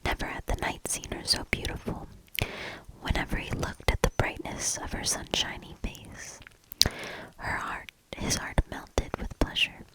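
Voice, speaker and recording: A woman doing soft ASMR storybook reading and quiet commentary in a breathy, gentle, hushed whisper. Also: rough recording. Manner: slow, gentle, hushed delivery.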